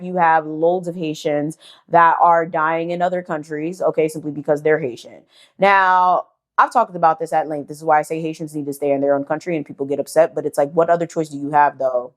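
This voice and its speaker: A young woman from the United States talks straight to her viewers in a blunt, heated way. She swears freely and keeps firing off rhetorical questions. Her voice sits fairly low for a woman. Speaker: vlogger. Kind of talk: casual commentary to camera.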